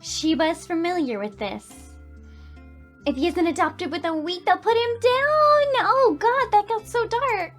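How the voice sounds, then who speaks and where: A woman with a high voice reads a game's story aloud as host. Her delivery is expressive and reactive, moving between narration and commentary over faint music. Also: measured pace. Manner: emotive, reactive. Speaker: gaming video host, reading narration aloud. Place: voice-over on gameplay with game music behind.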